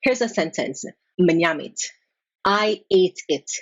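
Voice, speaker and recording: A woman from Kingston, Jamaica, with a Jamaican accent, explaining language topics in an emphatic, clear way and slowing down to stress key points. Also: fairly low pitch, expressive, highs cut off. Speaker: language vlogger. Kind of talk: educational explainer.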